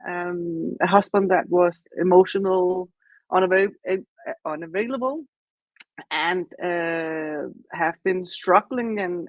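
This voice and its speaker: A middle-aged woman from Denmark, a coach speaking haltingly with many fillers. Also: fairly low pitch, no echo, muffled recording. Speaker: coach, business owner.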